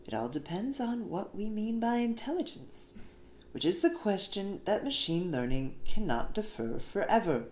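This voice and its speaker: Female essay narrator, slightly low register, very animated intonation, emphatic phrasing, recorded in a roomy space. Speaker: reader of an essay. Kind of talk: scripted narration, essay read aloud. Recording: roomy echo, faint background noise.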